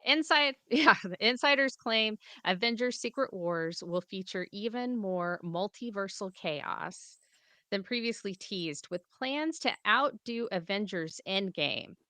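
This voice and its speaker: A woman co-hosting a pop culture podcast, with a bright, clear voice. She chats casually and drops playful asides while reading rumor stories. The sound is dry and close. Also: medium pitch, a little slow, dry recording. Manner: lively, jokey, reads rumors with quips. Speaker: podcast co-host. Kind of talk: casual chat and reading news.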